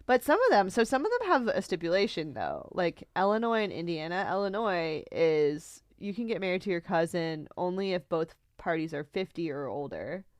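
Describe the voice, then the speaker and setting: A young American woman with a slightly low voice that moves around a lot in pitch. She chats casually as a podcast co-host, animated and giggly, in a close, dry recording. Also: normal speed, hardly any pauses, no echo. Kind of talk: casual chat.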